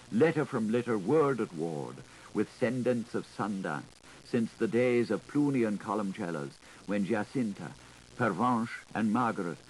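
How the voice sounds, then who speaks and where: A man reading a literary audiobook in a theatrical, deliberate, declaiming manner, on a 1980s recording. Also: mid-range voice, a little mellow, a little hiss or hum, poor audio. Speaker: audiobook reader.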